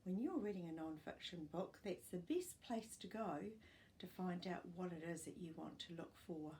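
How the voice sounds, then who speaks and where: An older woman hosting a children's storytime, reading non-fiction aloud with expressive, clear phrasing and explanatory asides for young listeners. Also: fairly low pitch, moderate pace, slight background noise. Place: small furnished living room, indoors.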